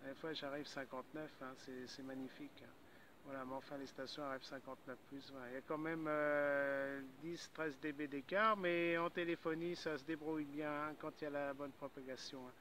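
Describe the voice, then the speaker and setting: A man with a bright, forward voice sitting a little high. He chats casually as a radio amateur, hesitating and adding little tags as he goes. There is faint noise behind him. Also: steady tone, a little fast, rough recording.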